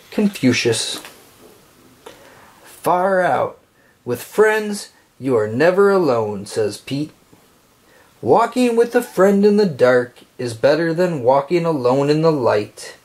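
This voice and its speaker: A man reading a children's picture book aloud, phrasing it deliberately and expressively for young listeners. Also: medium pitch, a little mellow.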